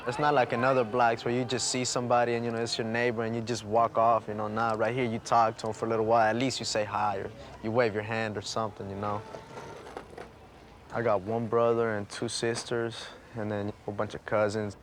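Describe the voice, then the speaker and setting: A young man from El Salvador talks in a flat, even voice and drops in lots of casual fillers. He sits for a documentary interview, and clear background noise runs under his words. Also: on the low side, fairly quick. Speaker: neighborhood resident.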